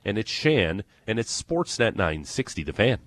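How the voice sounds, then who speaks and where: A man with an Ontario accent hosting a morning sports radio show, chatting and teasing with excitable energy. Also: lowish voice, normal speed. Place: radio studio. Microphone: studio microphone.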